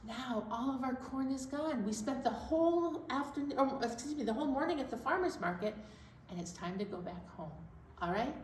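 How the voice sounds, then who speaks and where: A woman presenting a children's storytime in a playful, animated way, moving between chatty talk and sing-along. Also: high voice, fairly quick. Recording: very echoey room, distant mic, faint noise.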